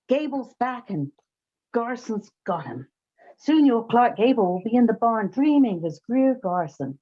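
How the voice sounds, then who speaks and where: Mellow, wry lady detective played by a woman. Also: on the high side, husky, heavily acted. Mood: reassuring, businesslike. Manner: wry, sarcastic. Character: dry, quick-witted female private detective. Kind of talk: comic old-time radio play acting. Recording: slightly dull, muffled sound.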